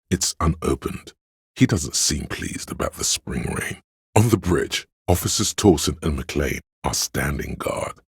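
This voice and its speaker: A brooding inner voice narrates a detective game. It sits extremely low, with a crackling fry and heavy huskiness. The delivery is measured, solemn and dramatic, like literary scripted narration. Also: male voice, dry recording, clean studio sound, full character voice. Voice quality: very deep, gravelly, husky.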